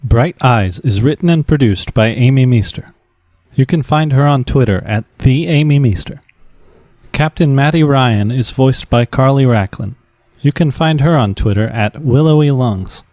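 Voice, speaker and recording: A man's voice: medium pitch, moderate pace, darkish tone, no echo. A man reading scripted end credits as the announcer of a drama podcast.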